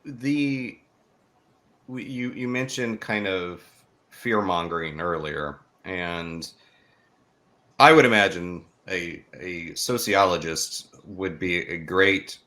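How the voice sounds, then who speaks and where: A man with a fairly low voice hosts a podcast interview. He talks conversationally, musing aloud and taking a roundabout path to his questions. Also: slow pace, sharp tone. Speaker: podcast host.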